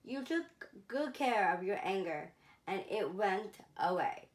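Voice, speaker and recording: A woman voicing a kindly, wise old grandfather in a children's storybook, gentle and reassuring. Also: medium pitch, expressive, takes it slowly, poor audio. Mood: gentle approval. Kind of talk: storybook character voice in a read-aloud.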